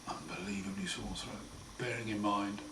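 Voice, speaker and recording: A man with a croaky, hoarse voice after throat surgery, talking slowly and wryly in a casual home video diary. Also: lowish voice. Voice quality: hoarse, partly breathy. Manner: slow, wry, plain-spoken, often pausing. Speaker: patient sharing his recovery. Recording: roomy echo, far mic, noisy.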